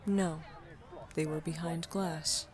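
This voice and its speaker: A woman playing a terse, literal-minded insect lover in an audio drama, with a deadpan delivery. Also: low-pitched, takes it slowly.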